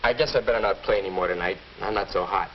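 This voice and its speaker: A man's voice: low voice, natural voice. A young American man playing an earnest, hesitant teenage boy in a 1950s educational film. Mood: uneasy. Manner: hesitant, halting. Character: earnest, unsure teenage boy dating a girl. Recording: dull, noisy old film soundtrack.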